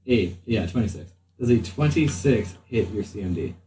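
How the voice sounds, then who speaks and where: A man acting as game master, narrating a tabletop game in an improvised, halting way as he thinks aloud. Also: on the low side, animated, dark tone. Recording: slightly distant mic, faint room noise.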